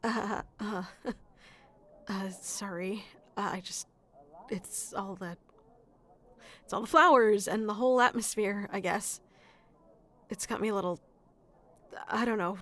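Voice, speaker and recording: A woman's voice: medium pitch, a little slow, long pauses, slight background noise, natural voice. Woman acting a sweet, flustered young florist. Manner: hesitant, soft-hearted, breaking into nervous chuckles and sighs. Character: flustered, sweet florist woman secretly in love with best friend. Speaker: audio roleplay performer. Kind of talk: intimate ASMR roleplay acting.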